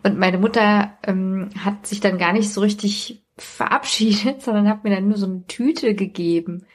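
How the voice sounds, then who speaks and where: A woman's voice: mid-range voice, normal speed. A woman in casual podcast conversation, talking informally and personally. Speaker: podcast guest or co-host.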